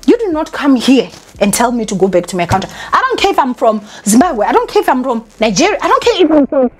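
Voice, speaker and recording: A young woman telling a storytime vlog to her viewers in an animated, emphatic way. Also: high-pitched, fast talker. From South Africa. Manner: animated and emphatic, talks to viewers as "guys" and "honey". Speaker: vlogger.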